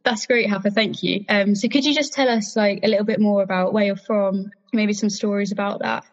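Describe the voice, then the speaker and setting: A woman's voice: mid-range voice, fairly even intonation, fairly quick, lo-fi sound. A young woman co-hosting a podcast, introducing herself and asking polite, brief questions. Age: young adult. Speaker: podcast co-host.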